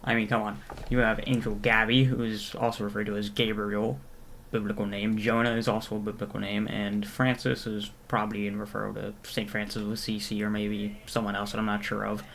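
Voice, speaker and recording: Male video commentator: lowish, flat delivery, casual and analytical, faint noise with keyboard clatter. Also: fairly quick, gravelly.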